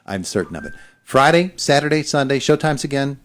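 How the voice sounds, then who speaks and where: A man hosting a morning radio show in the studio, asking casual questions in a halting way with lots of "uh" and "um.". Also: mid-range voice, very animated, a bit bright. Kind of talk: casual interview.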